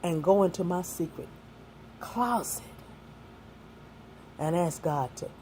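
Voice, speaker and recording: A woman in her sixties shares hard-won advice with young people in a folksy, heartfelt way, leaning on faith and plain talk. Her voice sits low and mellow. A faint hiss of noise runs under the recording. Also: animated, slow pace. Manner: earnest and folksy, mixing faith and street talk. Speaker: speaker giving life advice.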